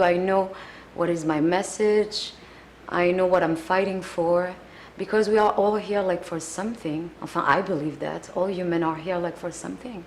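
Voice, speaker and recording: A woman with a French accent and a somewhat low voice talks earnestly in an interview, reaching for her English words and filling gaps with "like." A faint hum of room ambience sits behind her. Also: a little mellow. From France. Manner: earnest, searching for words, many "like" fillers. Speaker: singer, interview guest. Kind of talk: casual interview answers.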